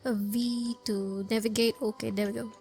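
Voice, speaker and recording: A woman giving casual, expressive, rambling reaction commentary over a video game. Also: on the high side, normal speed, faint music behind. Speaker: gameplay streamer, commentator.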